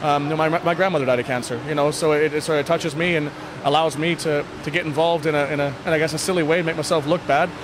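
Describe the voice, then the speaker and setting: A man in his thirties, a sports coach giving a candid, casual post-game interview in a plain-spoken, halting way with repeated words. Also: on the high side, fairly even intonation. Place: indoor sports hall, blue block walls. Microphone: handheld interview microphone. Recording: noisy venue with background ambience.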